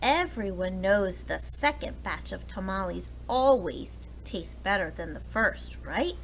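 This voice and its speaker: A woman's voice: mid-range voice, expressive, a little slow, slight background noise, low-quality recording. A woman voicing a cheerful, reassuring aunt in a children's storybook.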